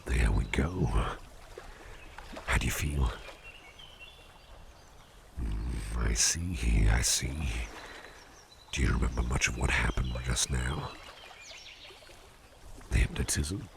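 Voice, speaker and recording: A man playing a calm, playful businessman boss in a slow, intimate ASMR roleplay, speaking softly and soothingly, often close to a whisper, with a gravelly voice. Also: background noise, exaggerated voice. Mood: gentle, reassuring. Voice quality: very deep, gravelly. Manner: soft, soothing, unhurried, often dropping near a whisper. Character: calm, playful businessman boss hypnotizing his employee with a pendant.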